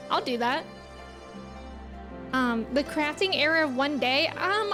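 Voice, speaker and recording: A woman with a high voice chats casually as a game streamer. She is playful and teasing, with faint music in the background. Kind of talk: casual livestream chat.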